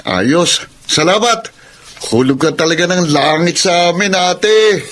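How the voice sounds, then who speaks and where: A man's voice: sits high, expressive, a little slow, over music. A man playing a sheepish younger brother with a Filipino accent in a Tagalog radio drama. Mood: sheepish, pleading. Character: sheepish younger brother, always short of money. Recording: clear background noise.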